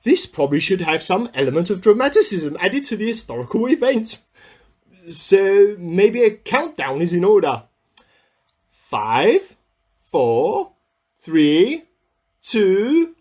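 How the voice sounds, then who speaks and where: A man acts out an eccentric amateur scientist in a radio play. His voice is fairly high and very lively in pitch, with a slightly husky edge. He speaks his diary entries earnestly and with some self-importance, musing aloud as he goes. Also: exaggerated voice. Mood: theatrical, excited.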